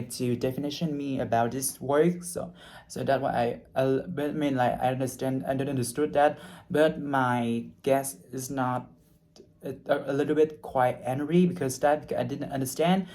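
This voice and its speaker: A young man, not a native English speaker, talking through his hospitality internship in a halting way, with many restarts and fillers as he searches for words. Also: mid-range voice, darkish tone. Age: young adult. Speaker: hospitality trainee. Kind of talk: unscripted personal video reflection.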